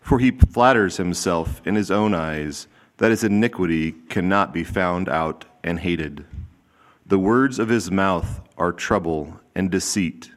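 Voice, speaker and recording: A man reading a psalm aloud in a church service in a measured, deliberate way. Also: sits low. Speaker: scripture reader. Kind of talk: formal scripture reading.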